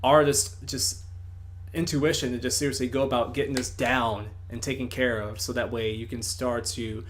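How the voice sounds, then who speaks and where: A man interviewing in a casual online chat, with a voice at a middle pitch. He is long-winded and lively, and he throws in swear words freely. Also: normal speed, slight background noise. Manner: wordy, animated, swears freely.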